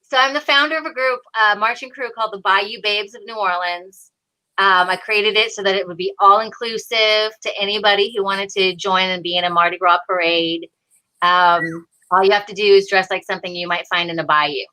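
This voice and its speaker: A woman guest chatting casually and haltingly on a video call, informal and a little self-deprecating. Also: medium pitch, steady tone, pushed and bright, poor audio. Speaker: guest performer on the show.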